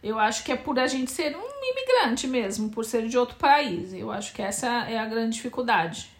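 A woman's voice: on the high side, very animated, normal speed. A woman in her forties with a Brazilian accent, answering questions in a casual interview, thoughtful and hesitant, often restarting her phrases. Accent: Brazilian Portuguese. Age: 40s. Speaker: interviewee, Brazilian immigrant in Portugal.

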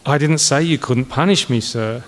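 A man's voice: mid-range voice, normal speed, lightly acted. A nervous young cadet, voiced by a male narrator, protests meekly and politely in a comic military tale. He is apologetic and earnest. A long hall reverb hangs on the voice. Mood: flustered. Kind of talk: comic dramatised audiobook reading.

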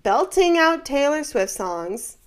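Woman podcast co-host, wry and chatty, lively pitch. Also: slow. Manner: chatty, wry, laughs often. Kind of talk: casual chat reading cast bios.

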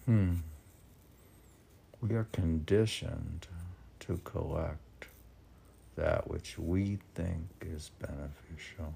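A man's voice: rumbling low, drawn-out words, gravelly, long pauses, warm tone, slight background noise. A man reading his own poetry, drifting into musing, meandering asides as if talking to himself. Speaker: poet reading own work.

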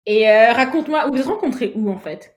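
A woman's voice: fairly high pitch, lively intonation, fast talker. A woman in her thirties hosting a casual chat, speaking in a frank, playful and slangy way. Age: 30s. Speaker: host, interviewer. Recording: roomy, echoing sound.

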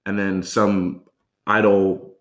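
A man's voice: fairly low pitch, level tone, slow. A man hosting a podcast, talking casually and filling his speech with likes. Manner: friendly, filler-heavy, says like a lot. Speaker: podcast host.

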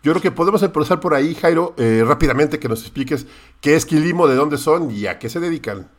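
A man's voice: a little high, animated, brisk. A man hosting a podcast, introducing a guest with many restarts and hesitations. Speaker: podcast host. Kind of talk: podcast interview.

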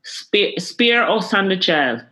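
A Jamaican woman speaks as an interview guest, her bright, forceful voice full of energy. She repeats words for emphasis and often laughs in the middle of a point. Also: medium pitch, sing-song, slow pace. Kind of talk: candid interview conversation.

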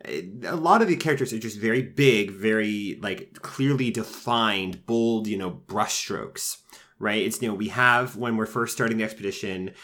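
A man with a lowish voice talks through his thoughts in a loose, casual podcast chat. He restarts his sentences often and drops in fillers as he picks at a film's pacing and characters. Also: normal speed, a bit bright. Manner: conversational, frequent fillers and restarts, mock voices when quoting characters. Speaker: film reviewer.

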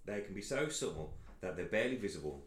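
A man's voice: low voice, animated, quick pace. A man playing a young, bemused and patient meeting host who asks dry, polite questions in a comic audio drama. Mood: patiently explaining. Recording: roomy, echoing indoor sound.

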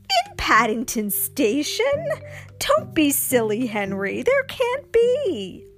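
Woman putting on a fussy storybook mother, shrill, high, exclaiming, swooping voice. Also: wildly swooping pitch, slow, faint music behind, heavily acted. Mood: surprised. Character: excitable fussy middle-aged mother.

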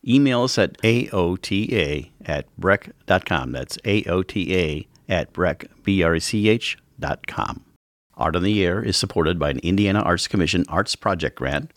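A male co-host of a public radio arts show, chatty and relaxed, with many filler words. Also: low voice, no echo, studio quality.

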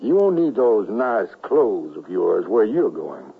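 A vengeful western villain with a gun, full of mock courtesy. His pitch swings widely and the voice is rough-edged. It comes over a dull vintage radio broadcast. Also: big pitch swings, slow, full character voice. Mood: vengeful, gloating. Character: menacing vengeful Confederate gunman, mockingly polite villain. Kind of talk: 1970s western radio drama acting.

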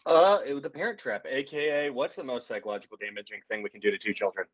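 A thirty-year-old man with a husky voice trades crude, self-mocking jokes as a podcast co-host. His humor stays dry, and his pitch sits in the middle range. The audio sounds dull and lo-fi. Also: expressive, fast. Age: 30s. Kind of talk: casual banter.

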